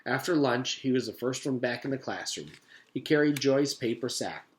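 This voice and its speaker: Guy teacher reading a kids book out loud, medium pitched voice. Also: expressive, normal speed. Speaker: teacher reading aloud to pupils. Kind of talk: storybook narration.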